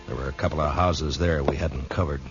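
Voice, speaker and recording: A man acting a grim, steady American marshal in a radio western, laconic and authoritative. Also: extremely low pitch, a little fast. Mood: grave, reflective. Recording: dull vintage 1950s radio recording with music.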